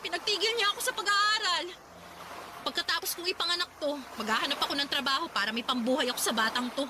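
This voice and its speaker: A woman voicing a blunt, sharp-tongued pregnant young woman in a radio drama, over background music. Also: very high-pitched, fast, heavy background noise. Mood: bitter. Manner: sharp, scolding. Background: music bed.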